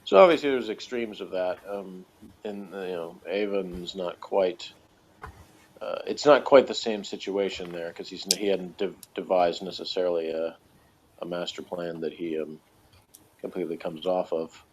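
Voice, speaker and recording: A man's voice: deep voice, normal speed, slight background noise. A man chatting casually as a podcast reviewer, thinking aloud in a hesitant, hedging way. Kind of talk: casual chat, rambling commentary. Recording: dull lo-fi recording.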